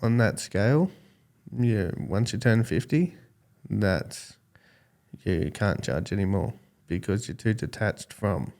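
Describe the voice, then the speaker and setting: Calm, low-voiced Australian man in his forties. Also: slow. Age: 40s. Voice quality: calm, smooth voice. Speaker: podcast guest, skateboarding judge. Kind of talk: casual interview chat.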